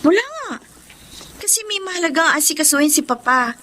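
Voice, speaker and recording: Dalagang anak, mataas ang boses. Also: female voice, very high voice, sing-song, brisk, sharp tone, a little hiss or hum, full character voice. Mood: casual. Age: young adult. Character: dutiful young bride-to-be daughter. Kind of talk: Filipino drama acting.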